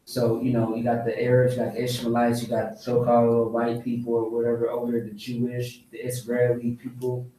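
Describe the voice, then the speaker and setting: Man, insistent casual preacher; flat delivery, far from the mic, roomy. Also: mid-range voice, a little fast, hardly any pauses, a little hiss or hum. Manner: insistent, keeps asking "see what I'm saying?". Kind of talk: casual preaching to a small group. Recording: echoey room, voice heard from off mic.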